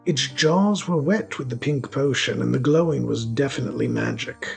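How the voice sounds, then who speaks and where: A man's voice: medium pitch, moderate pace, vocal fry, soft background music. A man reading scripted narration for a fantasy story, as its narrator.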